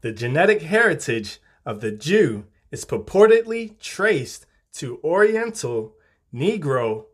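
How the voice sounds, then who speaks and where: A man reading scripted narration in a formal, measured way, like reference-book entries. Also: on the high side, very animated.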